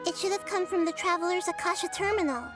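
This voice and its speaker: A woman playing a small, childlike girl sage in a video game, composed and gentle as she explains. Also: extremely high pitch, fast, over music. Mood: thoughtful. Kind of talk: video game character dialogue.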